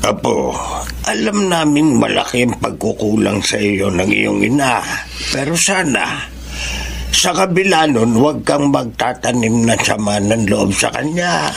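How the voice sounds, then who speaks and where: An actor playing a caring elderly grandfather in a Filipino radio drama, giving tender, measured advice. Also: mid-range voice, animated, creaky.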